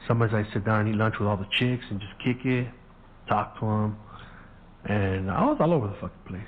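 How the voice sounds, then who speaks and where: A man's voice: fairly low pitch, a little fast. A middle-aged man telling stories in a casual monologue, full of profane street slang, acting out the voices of people he quotes. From Southern California. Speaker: podcast host. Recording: phone-quality audio.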